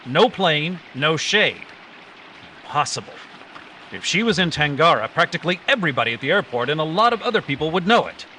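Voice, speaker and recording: A man playing an earnest, authoritative hero of an old-time radio adventure, explaining things and giving brisk orders. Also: fairly high pitch, big pitch swings, sharp tone. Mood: decisive, puzzled. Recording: live stage recording with background noise.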